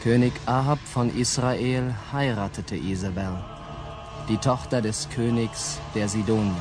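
A man's voice: mid-range voice, measured pace, natural voice. A man playing a dramatic storyteller, narrating a Bible tale in a radio play. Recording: loud guitar music behind.

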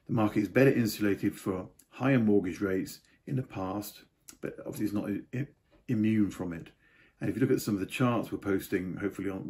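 A man's voice: fairly low pitch, warm tone. An older man giving a casual market update to camera from a home study, with offhand asides. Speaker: property market commentator.

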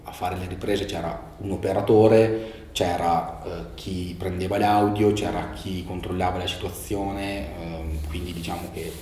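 A young man in his twenties with a lowish, mellow voice answers casually. He searches for his words and restarts phrases as he goes. The room adds a roomy echo over a faint hiss. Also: fairly even intonation, a little slow. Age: 20s. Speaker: young filmmaker interviewee.

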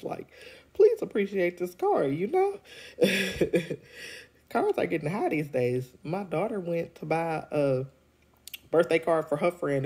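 A deep-voiced woman, congested from a cold, chats through a review into a phone held at arm's length. Her tone is dark and mellow, and she talks loosely with plenty of ums and quick laughs. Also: slightly rough. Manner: chatty, laughs often, many ums. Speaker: show reviewer. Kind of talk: casual vlog review. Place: indoors at home.

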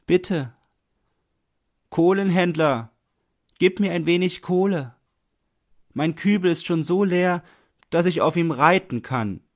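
A freezing, penniless man begs in a raised, high voice, acted out by a male reader. He calls out imploringly and desperately, as in a dramatic audiobook. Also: takes it slowly, long pauses. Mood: desperate pleading. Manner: imploring, calling out loudly.

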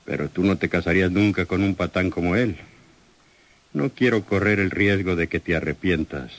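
An actor playing a domineering, smooth-talking male crime boss with condescending manner in an old radio soap opera. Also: low-pitched, normal speed. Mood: possessive. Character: menacing, possessive male crime boss, self-satisfied suitor.

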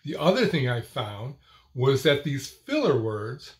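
An older man talks straight to the webcam in a small room at home. His pace is unhurried and measured, with deliberate pauses between short phrases and an occasional repeated word. His pitch sits in an ordinary middle range. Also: big pitch swings. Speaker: host of a video series on stuttering. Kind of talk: personal talk to camera.

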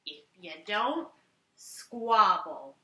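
A woman voicing a kindly but firm storybook boatman in a measured, gently admonishing way. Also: medium pitch, big pitch swings, pitched below the performer's own voice, full character voice. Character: kindly but firm man with a riverboat, setting rules. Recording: roomy living room echo.

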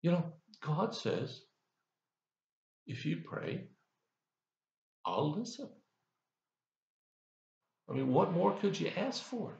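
A man's voice: fairly high pitch, big pitch swings, slow, gravelly, pauses often, a little mellow, roomy sound, highs cut off. An older man giving a folksy, conversational devotional as a pastor, asking the listener questions, recorded on a webcam in his office. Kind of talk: devotional sermon. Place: office, indoors, seated in leather chair.

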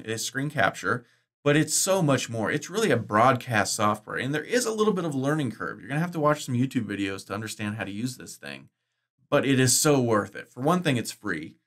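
A middle-aged man vlogging about course-making software, chatty and enthusiastic, his voice bass-boosted with the breaths filtered out. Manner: chatty, enthusiastic, loose with fillers and restarts. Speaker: online course creator. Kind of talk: casual explanatory vlog. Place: indoors, green-screen studio.

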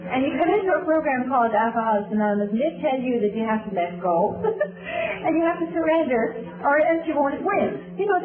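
Wry Northern Irish woman telling her story. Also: a little high. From Northern Ireland. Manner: wry, dry humor, storytelling. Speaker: recovery meeting speaker. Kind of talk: personal story told to an audience. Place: meeting hall with audience. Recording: tinny cassette tape in echoey hall. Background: audience laughter.

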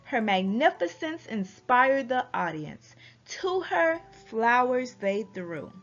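A woman reading a rhyming children's story aloud in an expressive, deliberate storytelling manner. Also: a little high. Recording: dull lo-fi audio with music behind.

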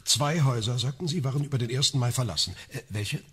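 Very husky, sardonic investigator. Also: male voice, mid-range voice, a bit bright. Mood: wry. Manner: wry, ornate, deliberate. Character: sardonic, erudite man leading a private investigation. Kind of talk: naturalistic radio crime drama acting.

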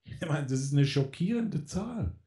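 A man's voice: on the high side, lively intonation, unhurried, dark tone. A man in casual political radio talk, rambling with many fillers and restarts. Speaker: guest.

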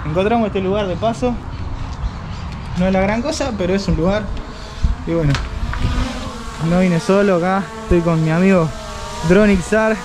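A man in his thirties with an Argentine accent, chatting casually as he explores an abandoned roadside restaurant, laughing often and exclaiming with excitement. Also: sits high, normal speed, long pauses, background noise. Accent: Argentine Spanish. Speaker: urban explorer vlogger. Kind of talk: casual walkthrough chat. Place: outdoors in an abandoned roadside building. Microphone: handheld camera held at arm's length.

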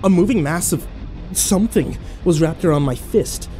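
A man playing an overwhelmed, foul-mouthed young man who narrates his ordeal haltingly in a horror audio drama. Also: a little high, warm tone, faint music behind, lightly acted. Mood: shaken, bewildered. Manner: halting, self-interrupting, swearing, incredulous.

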